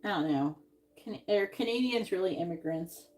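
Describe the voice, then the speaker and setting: A woman reading tarot cards on a live stream, musing out loud and trailing off with sighs. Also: on the low side, lively intonation, moderate pace, low-quality recording. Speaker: tarot reader.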